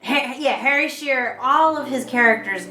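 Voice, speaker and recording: High, squeaky-voiced woman podcaster. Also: normal speed, pushed and bright. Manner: chatty, laughs easily, trails off and restarts. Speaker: podcast co-host. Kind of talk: casual chat. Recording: roomy echo with music playing behind.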